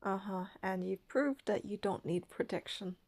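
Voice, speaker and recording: A woman's voice: medium pitch, moderate pace, vocal fry, a little mellow. A woman reading game narration and dialogue aloud, with casual commentary of her own.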